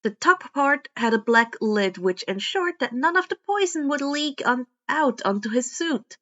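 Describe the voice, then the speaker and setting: A woman narrates a scripted story. Her voice sits very high for a woman. The recording is a touch dull and lo-fi. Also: expressive, a little slow, bright, forceful tone. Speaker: podfic narrator.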